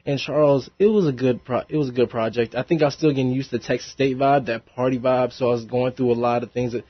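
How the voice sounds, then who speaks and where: A young man talks through his music in a relaxed, rambling way, laughing easily between thoughts. His voice sits at an ordinary pitch. The studio sound is dry and a little dull. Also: quick pace, no echo. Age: young adult. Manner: laid-back, laughs often, rambling with fillers. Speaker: rapper guest. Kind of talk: casual interview chat. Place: college radio studio.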